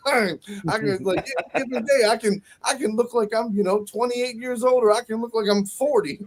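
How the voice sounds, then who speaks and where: A man's voice: extremely high pitch, normal speed. A man in his thirties, a guest on a casual podcast. He chats loosely, laughs often and stumbles over repeated words. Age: 30s. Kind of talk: casual chat.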